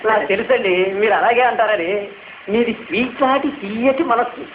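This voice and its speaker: Fast-talking flattering son-in-law. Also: male voice, very high-pitched. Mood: flattering, insistent. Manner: chatty, insistent, ingratiating. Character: breezy, flattering son-in-law spending freely. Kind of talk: Indian radio comedy drama acting. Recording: echoey, narrow-band, noisy old radio recording.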